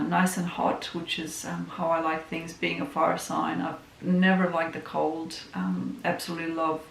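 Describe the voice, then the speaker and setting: A woman from Romania with a Romanian accent, a tarot reader introducing herself in a casual, soft, musing way with lots of ums. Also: lowish voice, creaky. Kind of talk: casual self-introduction vlog. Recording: echoey room with faint hiss.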